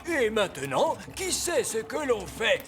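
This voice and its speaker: A man's voice: high-pitched, a little slow, full character voice. A man voicing a wise old male elf miller in a children's cartoon, explaining things expressively like a patient teacher. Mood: instructive. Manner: didactic, exclamatory, expressive. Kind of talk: children's cartoon dub acting. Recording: music behind the voice.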